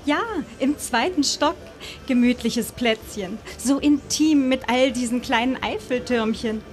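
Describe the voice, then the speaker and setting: A sociable Soviet wife on holiday, played by a woman in a live radio play. Her voice is fairly high and she chats politely over faint music. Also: unhurried, close to an everyday voice.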